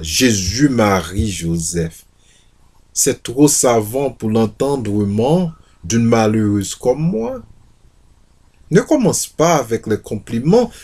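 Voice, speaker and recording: A man's voice: lowish voice, darkish tone, husky, heavily acted. A man voicing an earnest, modest young peasant woman in a storybook reading, hesitant and repeating her words. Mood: modest protest.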